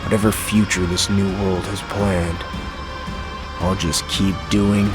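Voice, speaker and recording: An actor playing a stoic, blunt warrior in a video game, plain-spoken and nonchalant with a defiant streak. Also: male voice, low voice, little pitch movement, slow pace. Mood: musing, resolute. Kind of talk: scripted video game acting. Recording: music behind the voice.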